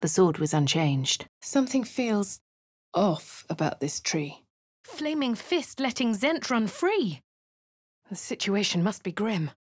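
Posh composed adventurer. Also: female voice, medium pitch, sing-song, slow pace, dry recording, studio quality. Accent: posh English. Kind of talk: game character voice lines.

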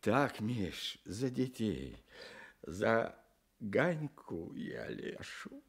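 An actor playing a gentle, humble, devout village man in his sixties with folksy rustic speech, in a radio play. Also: lowish voice, big pitch swings, extremely slow, husky, full character voice. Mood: weary, pleading. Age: older. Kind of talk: Soviet radio play acting.

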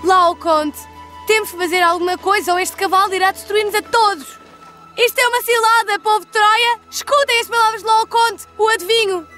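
A woman's voice: very high-pitched, fairly even intonation, a little fast, pushed and bright. A woman with a European Portuguese accent voicing a fierce, desperate young prophetess in a dubbed cartoon, imploring and declamatory. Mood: urgent warning. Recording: music behind the voice.

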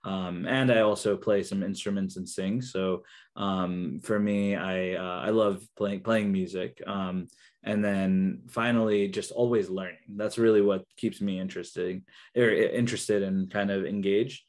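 Soft-spoken young man, halting speech. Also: sits low, steady tone. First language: Kannada. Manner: hesitant, many fillers and restarts. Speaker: interview guest, researcher and therapist. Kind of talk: casual video call interview. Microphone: video call.

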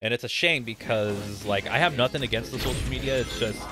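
A man's voice: mid-range voice, fairly quick. A young man chatting casually as he streams a game, rambling and giving his opinions. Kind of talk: casual live game commentary and chat. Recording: game music behind the voice. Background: video game music and sounds.

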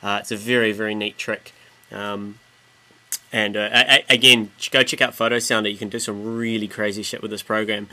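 Bright-voiced New man giving a tutorial. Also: on the low side, flat delivery, a little fast. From New Zealand. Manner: informal, mildly sweary. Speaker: music production tutor. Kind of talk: casual screen-recorded software tutorial. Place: indoors, voice-over on screen recording.

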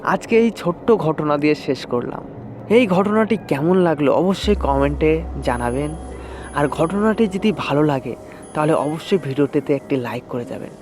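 A man's voice: fairly high pitch, lively intonation, normal speed, background noise. A man telling a scripted first-person horror story as a channel narrator, with music behind him. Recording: music under the voice.

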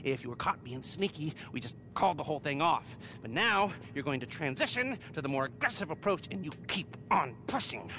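An actor playing a practical, dryly sarcastic heist planner in a video game. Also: male voice, on the high side, sing-song, a little fast, few pauses, bright, forceful tone, a little hiss or hum.

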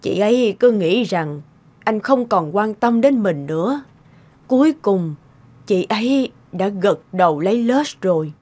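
A woman voicing a gentle, concerned elderly lady, measured and emotive, in an animated melodrama. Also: medium pitch.

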